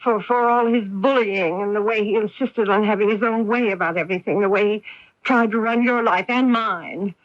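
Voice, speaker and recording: An actress playing a middle-aged mother, wounded, bitter and quietly desperate, speaking with halting, emphatic repetitions in a radio drama. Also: mid-range voice, dry recording, lo-fi sound, lightly acted. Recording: band-limited 1980s AM radio broadcast.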